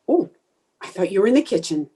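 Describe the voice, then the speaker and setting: A woman's voice: medium pitch, sing-song, moderate pace, warm tone, slightly rough. A woman playing a sarcastic, theatrical housewife in an old-time radio sitcom, with exaggerated, wisecracking delivery. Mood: flustered. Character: sarcastic, theatrical young housewife with a quick temper.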